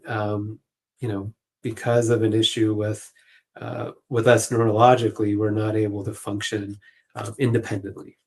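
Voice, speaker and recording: A man's voice: lowish voice, darkish tone. A man speaking as an expert panel guest, explaining informally and choosing his words with some hesitation. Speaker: clinical social worker, panel guest.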